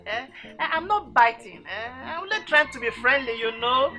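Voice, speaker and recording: A woman's voice: fairly high pitch, expressive, normal speed, music in the background. A woman acting in a skit, coaxing someone in a playful way.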